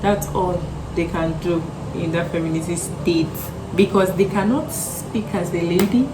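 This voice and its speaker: A woman's voice: fairly low pitch, normal speed, noisy background. A young woman with a thin voice, a guest in a casual chat, often chiming in with short agreements. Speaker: guest friend. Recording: roomy sound with music behind.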